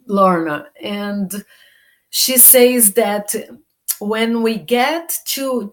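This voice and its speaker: A woman from Brazil with a Brazilian Portuguese accent, a self awareness coach talking casually to camera. She speaks in an unhurried way, searching for words and restarting often. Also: on the high side. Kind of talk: casual personal vlog.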